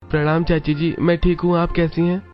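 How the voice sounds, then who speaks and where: A man voicing a gentle, dutiful young Indian son, earnest and respectful, in a cartoon family drama. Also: on the high side, little pitch movement, moderate pace, highs cut off, soft background music, natural voice.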